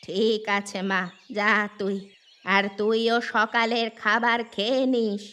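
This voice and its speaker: Cartoon old aunt: bossy village elder, slow scolding drawl, music underneath. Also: female voice, a little high, level tone, a bit bright, clear, resonant tone. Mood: bossy, content. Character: old village aunt, stern, boastful and scheming. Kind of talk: Bengali cartoon story acting.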